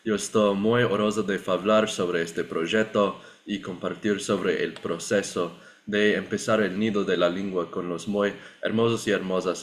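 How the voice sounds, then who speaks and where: Young male presenter, low voice, careful learner reading a prepared statement. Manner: careful learner's delivery, occasional self-corrections. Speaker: graduate student and project participant. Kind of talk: prepared presentation read aloud.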